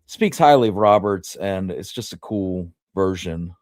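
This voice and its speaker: A man co-hosting a casual history discussion, thinking aloud in a halting way. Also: low voice, lively intonation, a little mellow, no echo, clean studio sound. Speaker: history show co-host.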